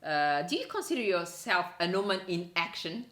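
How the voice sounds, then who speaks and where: A woman hosting an interview who speaks haltingly, with frequent restarts and loose phrasing. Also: on the low side, big pitch swings, a bit bright, echoey room. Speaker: interview host. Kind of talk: interview questions.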